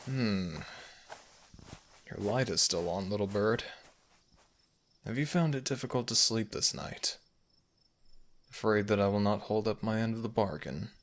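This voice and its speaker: A man voicing a possessive, protective male fox spirit in an intimate ASMR audio roleplay, brooding and gravelly, with old-fashioned formal speech. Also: lowish voice, unhurried, long pauses, lightly acted. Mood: brooding, longing. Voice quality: gravelly, breathy at times.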